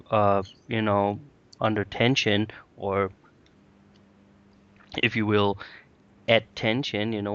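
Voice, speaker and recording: A man hosting a podcast interview, asking questions hesitantly with many pauses and fillers. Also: fairly low pitch, clean tone. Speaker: host. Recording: dull lo-fi audio.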